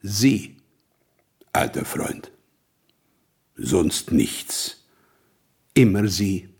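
A man's voice: deep voice, big pitch swings, extremely slow, a little mellow, breathy edge, exaggerated voice. An actor in a radio play playing a bored, condescending intellectual man who returns as a dead old friend. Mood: weary.

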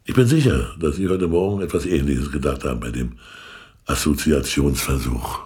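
An actor playing a shrewd, all-knowing informant in a radio play, lecturing in a pedantic, sardonic way. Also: male voice, rumbling low, normal speed, a little mellow. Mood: smug, musing. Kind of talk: German radio play acting.

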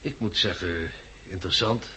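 A man's voice: low voice, normal speed, pushed and bright, slight background noise. An actor playing a polite, guarded male company director with a formal, evasive manner in a 1960s radio drama.

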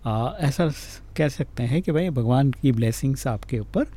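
Man, Indian accent, radio host, casual interview style, mellow tone, frequent brief acknowledgements. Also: mid-range voice, expressive, measured pace. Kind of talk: casual talk show interview.

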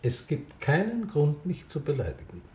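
An actor playing a teasing, nostalgic older Austrian former club owner in naturalistic radio drama dialogue. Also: male voice, fairly high pitch, wildly swooping pitch, moderate pace, a little mellow, slight background noise. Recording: echoey room.

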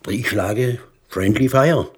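An actor playing a calculating, cynical government official with measured bureaucratic phrasing in a radio drama. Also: male voice, medium pitch, a little hiss or hum, natural voice. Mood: breezily cynical. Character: smooth, calculating government fixer, male, cynical schemer. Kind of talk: German radio crime drama acting.

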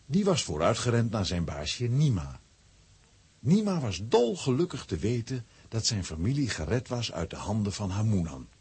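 A man's voice: on the low side, sing-song, normal speed, darkish tone, husky, no room sound, full character voice. A man narrating a children's adventure radio play as storyteller. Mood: storytelling. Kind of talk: scripted dramatic narration for children.

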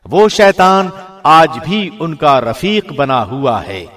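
A man giving a formal, measured and solemn scripted reading of a scripture translation, as a radio presenter would. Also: on the high side, sing-song, fairly bright tone.

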